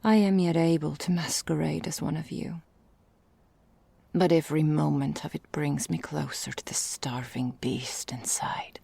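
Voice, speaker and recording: Casting: actress as seductively menacing female vampire for ASMR roleplay. Very gravelly fry, breathy and husky, slow and deliberate, melancholy. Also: low voice, fairly even intonation, mellow, no echo, full character voice. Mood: tormented, intense. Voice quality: breathy, husky, half-whispered. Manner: slow, deliberate, archaic formal phrasing. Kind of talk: ASMR roleplay, intimate dramatic monologue.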